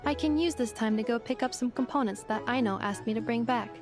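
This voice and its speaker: A woman voicing a polite, literal-minded female robot in a video game, formal, calm and precise. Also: a little high, a little fast, lightly acted. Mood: calm, matter-of-fact. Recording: background music under the voice.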